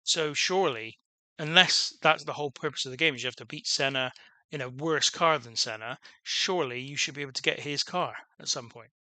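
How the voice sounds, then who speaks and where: A man's voice: mid-range voice, brisk, bright, forceful tone, dry recording. A man casually commenting on his own gameplay as a channel host, rambling with many restarts and fillers, and calling his own voice dull. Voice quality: self-described dull voice. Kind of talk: casual let's-play commentary.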